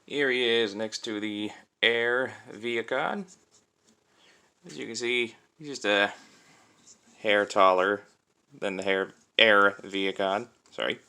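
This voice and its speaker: A man's voice: on the low side, slow, long pauses, sharp tone, clean tone. A man giving a casual hands-on product review in an informal, conversational way, thinking aloud as he demonstrates. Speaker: toy reviewer and host.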